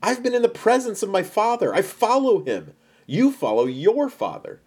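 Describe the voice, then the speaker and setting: A man voicing Jesus as a bold, authoritative teacher in his thirties, speaking with measured, solemn emphasis in a dramatized Bible story. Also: high voice, very animated, darkish tone, breathy edge, voice pushed up, full character voice. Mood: earnest, emphatic.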